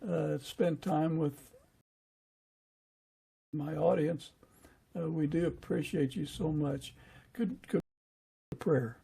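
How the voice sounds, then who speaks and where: A man hosting an interview podcast, speaking in an earnest, unhurried way with a husky, gravelly voice. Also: fairly high pitch, warm tone, poor audio.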